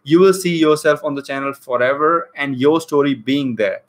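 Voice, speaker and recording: Higher-voiced Indian-accented man hosting a class. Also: moderate pace. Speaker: online English teacher and live stream host. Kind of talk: casual live class chat with viewers. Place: small room indoors.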